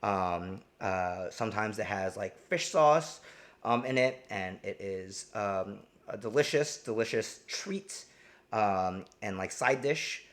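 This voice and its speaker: A man's voice: fairly low pitch, animated, slow, fairly bright tone. A man from New Jersey hosting a live cooking stream, talking casually and rambling with lots of ums and restarts. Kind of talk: casual live cooking demo, reading chat.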